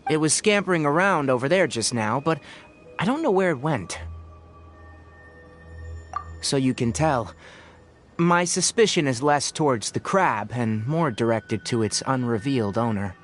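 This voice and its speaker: A man voicing a calm, principled young forest ranger and scholar in a video game, articulate and thoughtful. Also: medium pitch. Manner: articulate, thoughtful, gently lecturing. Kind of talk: video game voice acting, measured dialogue. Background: music.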